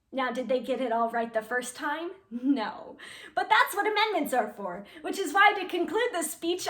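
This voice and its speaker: Woman acting high-voiced, earnest, eager playwright monologue. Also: fairly bright tone, distant mic. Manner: chatty, emphatic, direct address. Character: earnest, eager woman playwright reliving her fifteen-year-old speechmaking self. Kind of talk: theatrical monologue addressed to an audience. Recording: echoey room.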